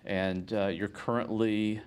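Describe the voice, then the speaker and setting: Polite low-voiced male TV host. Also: level tone, clean tone. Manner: polite, measured, uses fillers. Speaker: TV show host, professor. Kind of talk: scripted intro, then interview. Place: TV studio.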